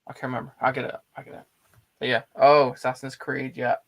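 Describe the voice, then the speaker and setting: Male co-host, mid-pitched with plenty of vocal fry, informal and blunt film talk. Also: flat delivery, fast, crowd noise. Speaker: film discussion show co-host. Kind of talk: casual movie chat.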